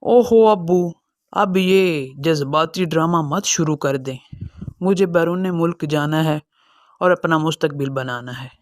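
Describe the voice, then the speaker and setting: A man's voice: on the high side, a little slow, no echo, studio quality, lightly acted. A man playing a selfish, impatient young son who is dismissive, in a dramatized cartoon moral story. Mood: impatient, demanding.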